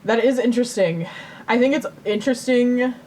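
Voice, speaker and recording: Casual podcast co-host over faint rumbling noise. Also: normal speed, darkish tone. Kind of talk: casual comedic chat. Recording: noisy recording with low rumble.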